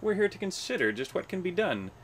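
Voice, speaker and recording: Old storybook elephant played by a man, earnest leader, deliberate and encouraging, with wide-ranging intonation. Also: high-pitched, sing-song, a little hiss or hum, pitched above the performer's own voice. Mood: grave.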